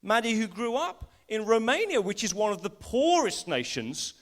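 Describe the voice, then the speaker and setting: A man's voice: high-pitched, normal speed. A man preaching at a live church service, bringing people up from the congregation and building his points with rising emphasis. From England. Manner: animated, rising emphasis when making points. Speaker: pastor. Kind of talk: live sermon with volunteers. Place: school hall church service.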